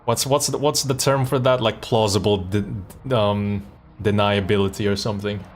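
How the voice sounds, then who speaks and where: A man's voice: on the low side, fairly even intonation, moderate pace, a little hiss or hum. A man who streams and voice acts, chatting informally and thinking aloud in hesitant bursts. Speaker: streamer and comic dub voice actor. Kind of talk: casual livestream chat.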